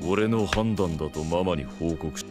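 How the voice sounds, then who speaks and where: A cocky anime tough speaks assertively in an extremely low voice. Loud music plays over the scene. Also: female voice, moderate pace. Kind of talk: anime voice acting.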